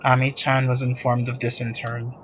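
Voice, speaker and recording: A man's voice: mid-range voice, monotone, normal speed, bright, forceful tone, muffled recording, slight background noise. A man reading scripted story narration as the narrator of a visual novel. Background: music.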